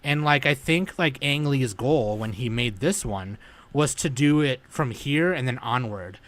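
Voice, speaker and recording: A man chatting on a podcast as a guest, telling stories with animated energy and slipping into impressions. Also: medium pitch, unhurried. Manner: animated storyteller who slips into impressions. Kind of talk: casual podcast chat.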